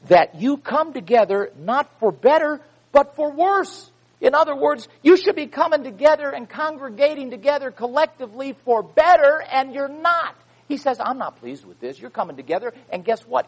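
Animated husky male preacher. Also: extremely high pitch, low-quality recording. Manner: animated, swings between loud emphatic reading and quiet asides. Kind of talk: sermon.

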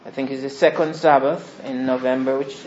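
Soft-spoken preacher in an echoey hall. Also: male voice, level tone, brisk, slight background noise. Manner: soft-spoken, rambling with restarts. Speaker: visiting preacher. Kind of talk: informal sermon introduction. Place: small church hall. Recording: echoey hall, dull low-fi sound.

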